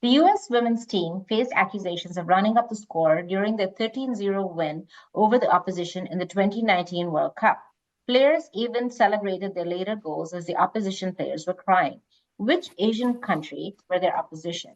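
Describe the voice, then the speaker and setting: A woman's voice: medium pitch, moderate pace. Dull-sounding woman hosting a quiz. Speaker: quiz host reading questions. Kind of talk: reading quiz questions, casual moderating.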